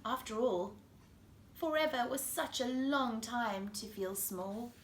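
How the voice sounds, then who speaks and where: A woman's voice: on the high side, takes it slowly. A woman from South Africa with a South African accent reads a picture book aloud to children, expressively and addressing them directly. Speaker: children's story reader. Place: living room indoors. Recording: echoey room with background noise.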